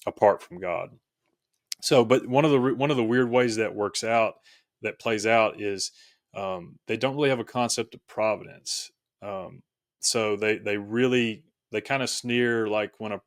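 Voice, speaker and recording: A man from rural Tennessee talks as a guest in a reflective interview. His voice sits fairly low and is captured dry, with little room sound. He explains things conversationally, in halting phrases. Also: no echo. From rural Tennessee, United States. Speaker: podcast guest, author.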